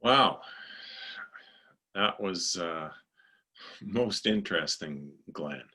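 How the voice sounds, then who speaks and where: A man moderating a question session, speaking in a slow, measured way. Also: deep voice, animated, sharp tone, breathy edge. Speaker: event moderator.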